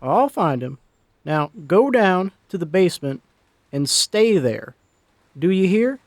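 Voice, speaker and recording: A man voicing a steady, gruff elderly husband with a raspy voice in an audio story. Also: sits high, slow, no echo, clean studio sound, heavily acted. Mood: urgent, firm.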